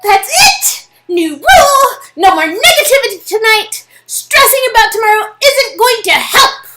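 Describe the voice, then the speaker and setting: A woman's voice: extremely high pitch, big pitch swings, measured pace, noisy background, voice pushed up, full character voice. A woman playing a peppy, bossy young optimist with an exuberant, emphatic delivery. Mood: rallying.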